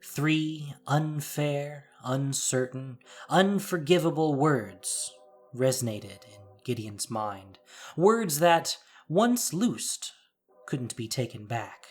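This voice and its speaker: Expressive story narrator, lively pitch, medium register. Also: slow.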